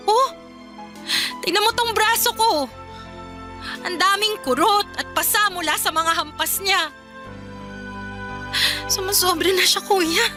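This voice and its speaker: High-voiced, exhausted, resentful daughter. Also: female voice. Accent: Filipino. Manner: emotional, pleading, halting with repeated phrases. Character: exhausted, resentful adult daughter fed up with caregiving. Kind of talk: Filipino radio drama acting.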